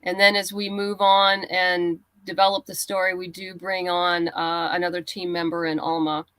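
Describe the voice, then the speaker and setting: A woman chatting casually as an interview guest, a writer explaining things in a halting, thoughtful way. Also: on the low side, level tone, fairly bright tone, dry recording, rough recording. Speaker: guest writer and comics creator.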